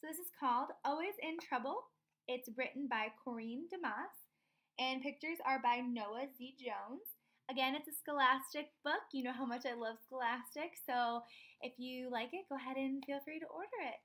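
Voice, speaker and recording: A woman's voice: high-pitched, moderate pace, fairly bright tone. A young woman reading a picture book aloud expressively, stopping to put questions to her young listeners. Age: young adult. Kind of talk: expressive children's read-aloud. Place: small room indoors at home.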